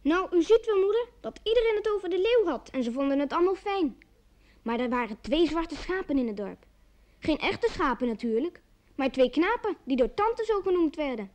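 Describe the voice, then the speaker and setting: A woman's voice: extremely high pitch, very animated, normal speed, darkish tone. A woman playing an eager, chatty child who tells a story in a radio play.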